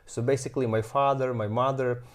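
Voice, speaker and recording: A man in his thirties from Ukraine with a Ukrainian accent, speaking as an interview guest and often pausing with fillers while he searches for words. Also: mid-range voice, steady tone. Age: 30s. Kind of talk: casual interview.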